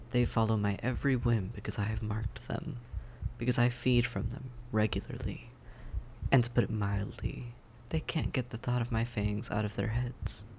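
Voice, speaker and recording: A man playing a suave, predatory vampire in an audio roleplay, speaking in deliberate, theatrical phrases with teasing condescension. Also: fairly low pitch, creaky, a little mellow, slight background noise, low-quality recording. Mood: smug, menacing. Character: suave, predatory male vampire toying with his prey. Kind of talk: scripted roleplay audio drama monologue.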